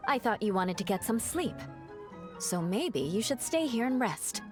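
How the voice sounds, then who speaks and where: A woman's voice: on the high side, big pitch swings, unhurried. Aloof proud noblewoman. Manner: formal, cool and clipped. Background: game music.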